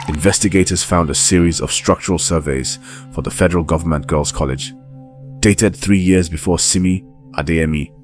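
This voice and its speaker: A man with a very low voice delivers scripted horror documentary narration. His storytelling is grave and deliberate, each phrase measured out over clearly audible background music.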